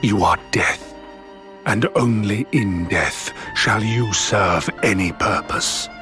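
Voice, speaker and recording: A man playing a solemn, vengeful immortal sorcerer in a fantasy video game, speaking formally and deliberately with cold duty. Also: on the low side. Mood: cold fury. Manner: grave, deliberate, archaic formal speech. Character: solemn, vengeful immortal male sorcerer villain, coldly dutiful. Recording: orchestral music behind the voice.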